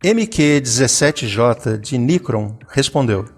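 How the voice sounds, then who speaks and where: A man's voice: mid-range voice, animated, slow. A man reading scripted story narration for an audio drama.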